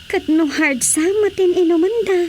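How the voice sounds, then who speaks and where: Dutiful youngster at home, ilocano radio drama, super high pleading voice. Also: female voice, moderate pace, dark tone, slight background noise. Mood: obliging.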